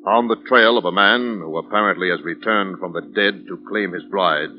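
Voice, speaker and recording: A man's voice: low-pitched, moderate pace, over loud music. A man doing emphatic, polished, dramatic scripted announcing as a 1940s radio announcer. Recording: dull 1940s radio recording.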